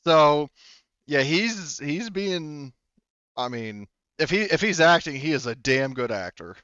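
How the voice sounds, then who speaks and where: A man's voice: fairly high pitch, sing-song, slow pace, sharp tone, clean tone, no room sound. A man acting as game master, narrating and ruling casually while thinking aloud, on slightly dull audio.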